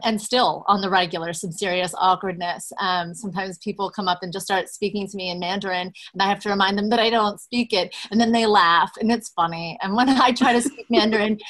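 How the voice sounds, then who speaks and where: A woman's voice: medium pitch, a bit bright. A woman, telling her story candidly as an interview guest with many fillers. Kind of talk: conversational interview, personal story.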